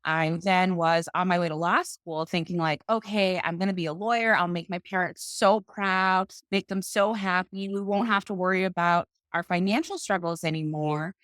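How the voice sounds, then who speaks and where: A woman speaks in a lowish voice. She tells her story with confidence and slows down to stress key phrases. The sound is close and dry. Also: fairly bright tone, no room sound. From Boston, US. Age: 30s. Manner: confident, deliberate, slows for emphasis. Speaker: podcast guest, financial coach. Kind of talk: interview storytelling.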